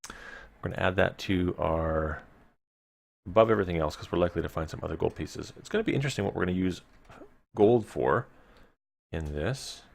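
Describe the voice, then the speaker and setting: A man's voice: deep voice, fairly quick, creaky, pauses often. A man live-streaming a read-aloud of an adventure gamebook, mixing storytelling narration with casual asides to his viewers.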